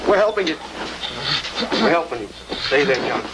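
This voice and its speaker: A man playing a steady medic who calms a patient, in a naturalistic 1970s TV drama. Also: fairly high pitch, normal speed. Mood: urgent soothing. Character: soothing, steady male medic calming a patient. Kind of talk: naturalistic 1970s TV film acting. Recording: very noisy old TV broadcast recording.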